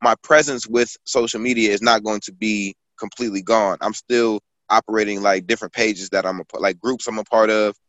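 A man's voice: fairly low pitch, steady tone, fairly quick, hardly any pauses, fairly bright tone, dry recording, poor audio. A man in his twenties, a guest author and poet, talking casually in a rambling storytelling way, full of fillers and restarts. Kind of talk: casual conversation.

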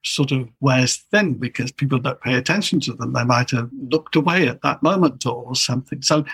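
A man's voice: medium pitch, brisk, a bit bright, breathy edge. An older man, a guest linguist in an interview, explaining in a wry, chuckling way.

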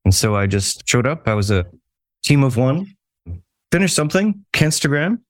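A man's voice: medium pitch, very animated, normal speed, no echo. Easygoing Canadian man, dry sound. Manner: easygoing, self-deprecating humor. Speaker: customer support engineer, guest. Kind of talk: casual podcast chat.

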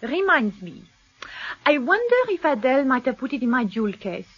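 An elegant French kept woman for a radio play. Her voice is high and swoops expressively, coquettish and airy. The recording is a little dull and muffled. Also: very animated, moderate pace, fairly bright tone, husky, heavily acted. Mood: airy, searching. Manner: theatrical, coquettish. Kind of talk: radio drama acting.

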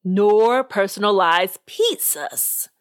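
A woman voicing a stern, vexed old male judge with a gravelly voice, slow and emphatic. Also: medium pitch, animated, dry recording.

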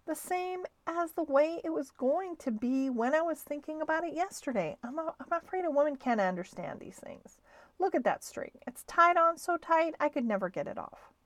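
A woman doing a tiny, fussy little mouse gentleman, high-pitched and heartbroken, pleading in despair. The storybook voice is lively and rises and falls a lot. It sounds dry and close to the mic. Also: normal speed, no room sound.

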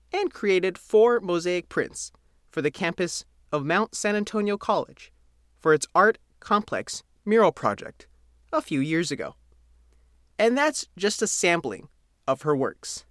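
A man's voice: high-pitched, a bit bright, no echo, clean studio sound. A man reading a scripted local feature article as its reporter and narrator.

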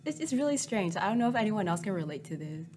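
A woman's voice: mid-range voice, big pitch swings, quick pace. A young woman from Vietnam whose first language is Vietnamese, chatting casually with many filler words as a podcast guest. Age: teens. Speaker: guest student. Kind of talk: casual conversation.

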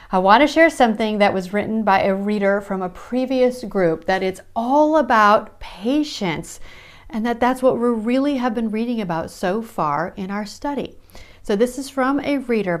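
A woman with a Midwestern American accent leads a daily Bible study podcast. She speaks in an earnest, encouraging tone and reads scripture aloud between her own reflections. Her voice sits at a middling pitch for a woman. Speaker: podcast host. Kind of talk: devotional Bible study talk.

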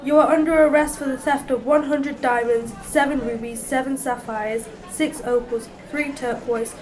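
A woman's voice: sits high, fairly even intonation, moderate pace, lightly acted. A woman playing an officious police officer making an arrest in an amateur audio drama.

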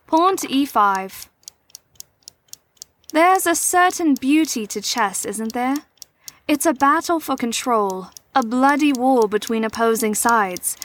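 A woman playing a poised, probing middle-aged mentor in an audio drama, speaking in a formal, theatrical, measured way. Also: fairly high pitch, a bit bright, slight background noise. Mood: dramatic, musing. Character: poised, probing female mentor and chess player. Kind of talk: scripted audio drama acting.

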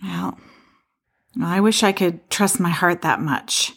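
An actress playing a skeptical but helpful apartment dweller in an audio play, speaking in a hesitant, conversational way. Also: female voice, fairly low pitch, slow, gravelly, fairly bright tone, husky, heavily acted. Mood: skeptical, wistful.

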